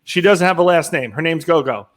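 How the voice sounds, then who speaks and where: A man with a notably high voice hosts the interview. He talks in an upbeat, chatty way and fills pauses with fillers. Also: expressive, fairly bright tone. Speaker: host, real estate business owner. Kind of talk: interview hosting.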